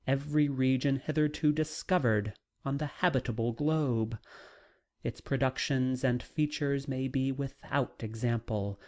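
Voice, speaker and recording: Male audiobook narrator, very unhurried, medium pitch, deliberate phrasing, dramatic literary reading. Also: darkish tone. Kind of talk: dramatic scripted reading of a classic novel.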